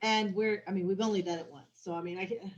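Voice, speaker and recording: A woman's voice: medium pitch, steady tone, quick pace, lo-fi sound. A woman chatting casually as an interview guest, hesitant with many restarts. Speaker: visiting queen, interview guest. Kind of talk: casual chat.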